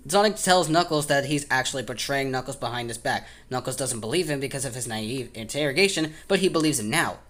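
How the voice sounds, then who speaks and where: A man's voice: fairly high pitch, a bit bright. A man narrating a fan's video review from a script with casual asides, enthusiastic and stumbling over words now and then. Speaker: film reviewer.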